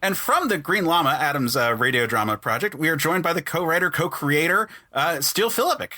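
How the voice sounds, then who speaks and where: Bright-voiced man hosting a podcast. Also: a little high, expressive, fairly quick. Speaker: podcast host. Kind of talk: casual podcast chat.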